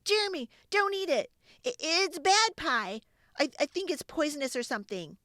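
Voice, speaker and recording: A woman playing an animated, earnest young boy. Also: high-pitched, normal speed, no room sound, studio quality, pitched above the performer's own voice. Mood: anxious, pleading. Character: earnest young boy telling about his new enemy.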